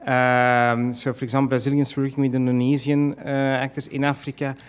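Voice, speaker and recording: A man's voice: mid-range voice, flat delivery, brisk, clear, resonant tone. Hesitant male panelist. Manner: hesitant, many fillers and restarts; self-deprecating. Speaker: conference panelist. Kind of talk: answering audience questions.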